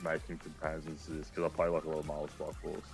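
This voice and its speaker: Man, about thirty, very deep voice, casual profane gaming chat, lots of fillers, faint music. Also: monotone, fast talker, vocal fry. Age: 20s. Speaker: co-streamer.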